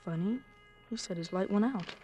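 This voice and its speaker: An actor playing an excitable, earnest young American boy in a 1950s radio drama, with breathless, insistent kid talk. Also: medium pitch, normal speed, soft background music, close to an everyday voice. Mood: curious. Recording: vintage 1950s radio broadcast.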